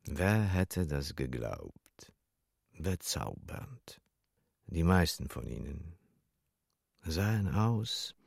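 An actor playing a sly, rambling old Polish junk-shop dealer in a German-dubbed film thriller, evasive and wheedling. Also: male voice, deep voice, very animated, vocal fry, darkish tone, slightly rough, no echo, clean studio sound, heavily acted. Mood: musing, wheedling. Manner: evasive, wheedling, drawn-out musing.

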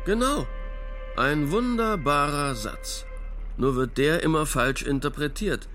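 An actor playing a grandiose, impatient inner authority who lectures like a self-declared immortal in a philosophical radio play. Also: male voice, on the high side, slow pace, a bit bright, soft background music. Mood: impatient, lecturing.

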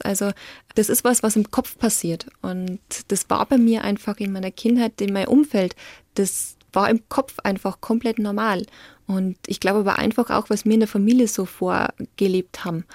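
A young woman in her twenties with a Bavarian accent, chatting reflectively as an interview guest, often trailing off softly and laughing easily. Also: medium pitch, fairly even intonation, normal speed, no room sound, clean studio sound. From Lower Bavaria, Germany. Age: 20s. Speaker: guest, Paralympic athlete. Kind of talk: casual interview talk.

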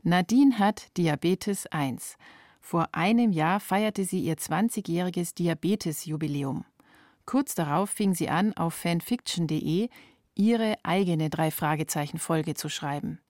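Woman reporter narrating a radio feature, slightly low voice, no room sound. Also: unhurried, darkish tone, dry recording, clean studio sound.

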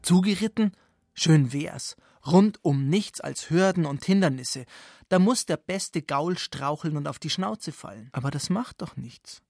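A man's voice: a little high, lively intonation, a little slow, vocal fry, a little mellow. A man hosting a radio programme with wry, ironic scripted narration, often putting things as rhetorical questions. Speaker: radio show host.

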